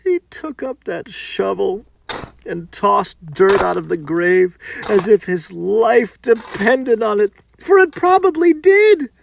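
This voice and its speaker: A man's voice: high-pitched, animated, slow pace, creaky, noisy background. A man reading a folktale as dramatic narration, with a theatrical, suspenseful and emphatic delivery.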